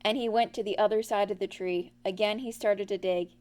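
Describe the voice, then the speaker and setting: A woman reading a children's story aloud as an expressive storyteller. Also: mid-range voice, a little fast, smooth.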